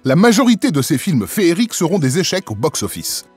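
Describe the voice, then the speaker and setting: High-pitched man narrating with lively swings. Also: fairly quick, over music. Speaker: narrator of culture magazine. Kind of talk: scripted voice-over narration.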